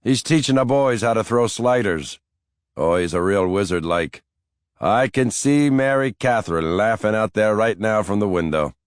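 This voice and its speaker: A man doing a mischievous old grandfather, pitched well above a low, dry reading voice. He talks in a chatty, teasing way, in an audiobook. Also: lively intonation, moderate pace, dry recording, lightly acted. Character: elderly Irish grandfather, wry and teasing.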